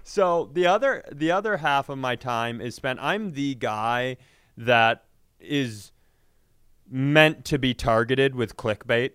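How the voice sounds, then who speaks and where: A man chatting casually as a comedy podcast co-host, telling self-mocking, sweary stories in an animated way. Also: medium pitch, slow, smooth, no echo, clean studio sound.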